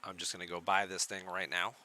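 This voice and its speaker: A man chatting casually on a live game stream, answering viewers in a relaxed, soft-spoken way at a home computer mic. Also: fast. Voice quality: soft-spoken, low volume.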